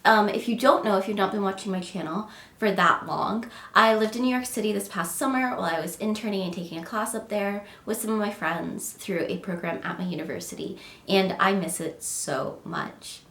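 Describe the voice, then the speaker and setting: A woman's voice: mid-range voice, normal speed, fairly bright tone, reverberant. A young woman vlogging casually and chattily, a little nasal from a cold, full of "um" and "like". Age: young adult. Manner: chatty and bubbly, lots of "um" and "like". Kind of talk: casual vlog chat.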